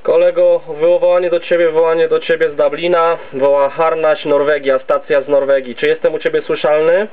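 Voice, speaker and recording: Man, slightly high voice, rambling radio operator chat, narrow tinny CB sound. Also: level tone, fairly quick, few pauses, background noise. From Poland. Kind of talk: casual radio contact chat. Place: home base station.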